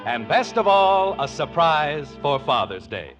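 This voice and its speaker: High-voiced American radio announcer, a man. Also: sing-song, a little slow. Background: loud music.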